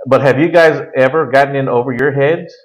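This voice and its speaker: Man, podcast host, inquisitive, mid-pitched voice. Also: fairly quick, poor audio. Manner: inquisitive, asks questions. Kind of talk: interviewing guests.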